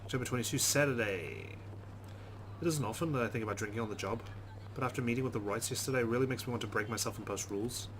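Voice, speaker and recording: A man's voice: mid-range voice, quick pace, a little hiss or hum, rough recording. A man hosting a let's play, reading visual novel text aloud and adding informal, chatty commentary.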